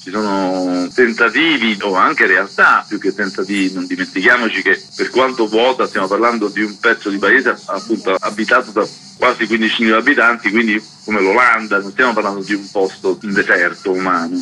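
A man speaking as an interviewed author, explaining in a measured, analytical way on the radio. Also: on the low side, pushed and bright, a little hiss or hum, poor audio. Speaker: book author being interviewed.

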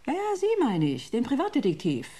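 A woman's voice: fairly high pitch, wildly swooping pitch, a little fast, warm tone. An actress playing an icy, commanding female executive in a radio play, giving curt, dismissive orders. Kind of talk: German radio play acting.

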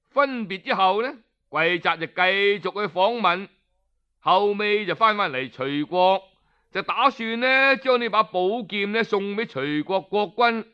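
High-voiced, unhurried Cantonese male storyteller. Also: clean tone. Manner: expressive, colloquial, with rhetorical interjections. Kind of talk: traditional Cantonese storytelling of historical tales, audiobook narration. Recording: dull lo-fi audio.

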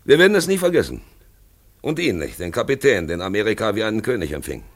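A man's voice: on the low side, big pitch swings, quick pace. A man playing an eloquent narrator in a 1950s German radio play, addressing the listener directly in a dramatic, rhetorical way. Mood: dramatic, reflective. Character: eloquent male narrator guiding the listener through a drab town.

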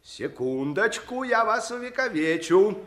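An actor playing a smug, bossy, blustering poacher in an old Soviet radio play. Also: male voice, sits high, very animated, measured pace, fairly bright tone, full character voice. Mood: jovial, bossy. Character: smug, blustering poacher posing over his kill.

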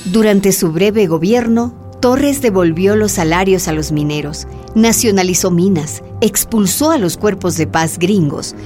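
Woman narrator, scripted documentary delivery, mid-range pitch, music underneath. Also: measured pace, few pauses. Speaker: radio drama narrator.

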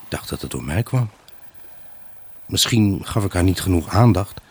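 A man's voice: low-pitched, expressive, moderate pace, breathy edge, heavily acted. A man acting a troubled, brooding husband who tells of his unhappy marriage in a radio play monologue. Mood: reflective, weary. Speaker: actor narrating a radio drama. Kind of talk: dramatic first-person monologue in a Dutch radio play.

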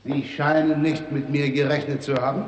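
Actor as coolly menacing official in echoing hall. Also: male voice, fairly high pitch, little pitch movement, normal speed, a little hiss or hum, natural voice. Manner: mockingly courteous, commanding. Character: coolly menacing male official, mockingly polite interrogator. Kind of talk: 1960s German radio drama acting. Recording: echoey reverberant hall.

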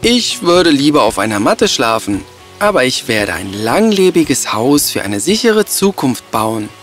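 Man voicing an earnest, animated wise pig brother. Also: medium pitch, unhurried, faint music behind. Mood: firm, proud. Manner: earnest, preachy. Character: wise, earnest young male pig, sensible cautious brother. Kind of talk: children's cartoon fairy-tale dialogue.

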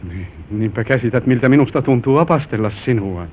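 An actor playing an affectionate, proud young newlywed husband in an old Finnish radio play, with a gallant, expressive stage delivery. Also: male voice, natural voice. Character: affectionate newlywed husband proudly showing his bride the family manor. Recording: narrow-band, hissy vintage radio recording with rumble.

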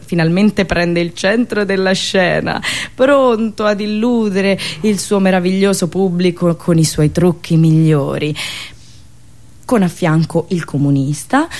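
A woman performing a satirical storytelling monologue, dramatic and ironic, with pauses and drawn-out words. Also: medium pitch, a little mellow. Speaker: radio host and monologist.

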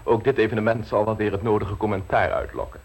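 An actor playing a smooth, self-assured scheming secretary in a radio play, teasing and unflappable, with a husky voice. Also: male voice, lowish voice, steady tone, quick pace. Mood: suave, scheming. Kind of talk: radio play acting in Dutch.

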